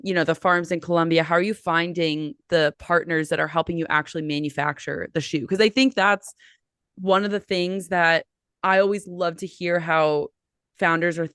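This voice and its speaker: A woman hosts a podcast in a smooth, clear, slightly low voice. The recording is dry and close, with no room sound. She rambles through long questions packed with casual fillers. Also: a bit bright, dry recording. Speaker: podcast host. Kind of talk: casual interview chat.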